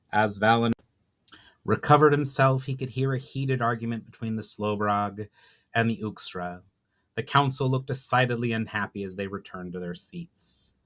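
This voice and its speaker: A man narrating a fantasy novel as its author, in a dramatic storybook reading style. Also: mid-range voice.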